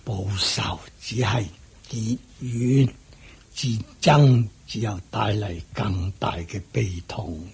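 Slow, husky condemned king. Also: fairly low pitch, darkish tone, full character voice. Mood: weary, resigned. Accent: Hong Kong Cantonese. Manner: solemn, drawn-out delivery. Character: captured old king facing execution, forgiving, grave. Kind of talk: Cantonese radio drama acting.

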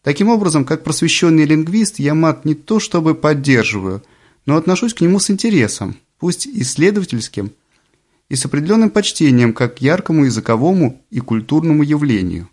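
Man giving a measured audiobook reading. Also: a little high, very animated, a little mellow. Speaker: audiobook narrator. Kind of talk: scripted audiobook narration.

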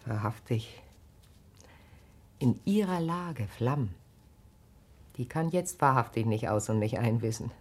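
An actress playing a grave, perceptive, caring wife in a radio drama, speaking earnestly and probingly. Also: female voice, extremely low pitch, expressive, takes it slowly, long pauses, darkish tone, breathy edge, full character voice. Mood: grave, hushed. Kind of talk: naturalistic German radio drama acting.

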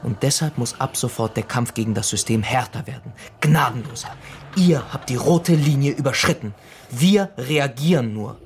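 A man's voice: mid-range voice, moderate pace, natural voice. Menacing young blackmailer, played by a man, noisy background. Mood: grim, fanatical. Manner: taunting, controlled, politely threatening. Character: menacing young working-class male blackmailer, radicalised, contemptuous, bitter. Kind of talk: naturalistic German radio crime drama acting.

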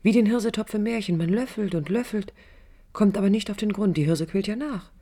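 A woman's voice: medium pitch, a little fast, mellow, lightly acted. An actress playing an idealistic, stubborn young architect in a radio drama, thoughtful, intimate and earnest in her delivery. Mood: reflective, determined. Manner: intimate, thoughtful, earnest, at times dropping to near whisper. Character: idealistic young female architect, reflective yet stubborn and defiant. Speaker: lead actor and first-person narrator of a radio play. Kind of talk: 1980s East German radio drama acting, introspective monologue.

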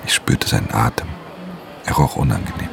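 A man playing a world-weary, guarded pickpocket who narrates a radio crime drama in an intimate, gravelly voice. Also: very deep voice, brisk, crowd noise, full character voice. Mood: brooding, tense.

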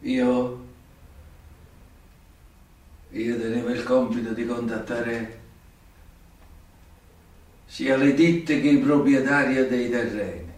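An actor playing a bitter, remorseful old mob informant with a southern Italian accent, confessing in weighty, halting, theatrical phrases for radio theatre. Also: male voice, medium pitch, fairly even intonation, darkish tone, natural voice. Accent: southern Italian, Campanian. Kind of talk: theatrical radio drama acting. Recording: very echoey noisy room, distant from microphone.

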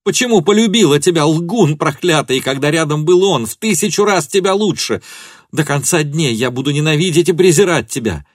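A male narrator plays a scorned woman in a literary audiobook. The voice is raised high and loud, spitting bitter accusations with venomous contempt. The recording is close and dry. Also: animated, fairly quick, no room sound. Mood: furious, bitter. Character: furious, betrayed young woman hurling scornful accusations.

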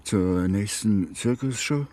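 An actor playing a stubborn, wary old ranger who speaks haltingly in a German western radio drama. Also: male voice, lowish voice, a little mellow. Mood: wary, dry.

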